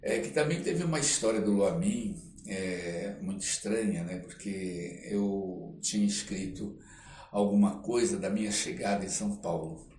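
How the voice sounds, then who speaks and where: A man's voice: low voice, a little slow, a little mellow. A Brazilian man chatting as a podcast guest, telling stories in a reflective, rambling way with frequent checking tags. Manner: rambling, reflective, often ending phrases with a checking tag. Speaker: interview guest, performing artist. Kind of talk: casual storytelling in a podcast interview. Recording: echoey room with faint noise.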